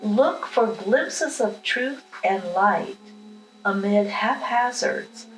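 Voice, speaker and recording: A woman leading a guided meditation with affirmations, speaking in a calm, unhurried, instructional manner. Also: medium pitch. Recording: roomy echo with music behind.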